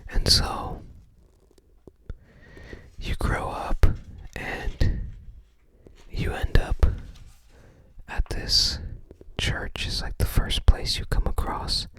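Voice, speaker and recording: Whispered ASMR, close-mic, hesitant, rambling, short unhurried phrases. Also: fairly bright tone. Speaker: ASMR creator. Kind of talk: whispered ASMR book browsing.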